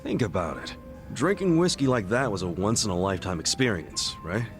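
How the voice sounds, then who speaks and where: An actor playing an easygoing, level-headed buddy in a video game cutscene, speaking casually and earnestly. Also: male voice, fairly low pitch, sing-song, brisk, soft background music. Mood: reassuring. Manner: casual, incredulous, reassuring.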